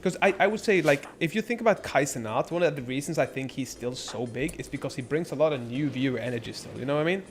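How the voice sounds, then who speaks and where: A man's voice: medium pitch, expressive, fast, hardly any pauses, slight background noise. A young man born in Norway, chatting casually and rambling on his live stream, swearing freely. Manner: rambling, laid-back, lots of filler and swearing.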